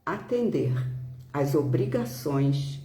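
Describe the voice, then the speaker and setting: Very slow, solemn Brazilian woman. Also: deep voice, lively intonation, dark tone, echoey room. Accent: Brazilian Portuguese. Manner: solemn, deliberate phrasing with frequent pauses. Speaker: spiritist speaker. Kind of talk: devotional talk with solemn reading.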